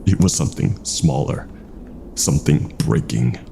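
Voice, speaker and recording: Man, very low voice, dark tone, slow suspenseful horror narration, faint background noise. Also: animated, creaky. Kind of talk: dramatic scripted horror narration.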